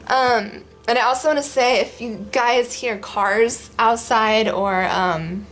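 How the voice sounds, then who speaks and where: A young woman chatting casually in her living room as a vlog host, rambling with lots of ums and a voice that is going hoarse. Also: fairly high pitch, fairly even intonation, slow, fairly bright tone, slight background noise. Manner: rambling, many ums, laughs often. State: losing her voice. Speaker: art vlogger. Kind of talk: casual chat.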